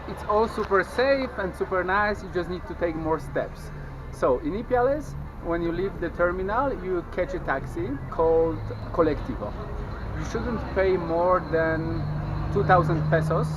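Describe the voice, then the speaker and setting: A young man with a Polish accent, whose first language is Polish, chatting to a handheld camera outdoors as a travel vlogger and explaining things step by step. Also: fairly high pitch. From Poland. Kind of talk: casual travel vlog talk to camera. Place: outdoors at a busy border crossing, then a roadside food stall. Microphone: handheld camera at arm's length. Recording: outdoor camera audio with clear traffic noise. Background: street and traffic noise.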